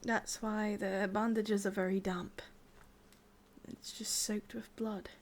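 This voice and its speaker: A woman with an English accent playing a possessive, lovesick witch in a whispered ASMR roleplay, coaxing softly and intimately, close to the microphone. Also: medium pitch, flat delivery, takes it slowly, slight background noise, natural voice. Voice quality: breathy, whispery.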